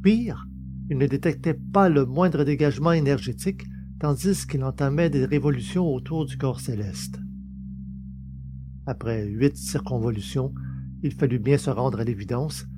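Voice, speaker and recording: A man's voice: medium pitch, normal speed. A man reading a science-fiction novel as a scripted, dramatic audiobook narration. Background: music.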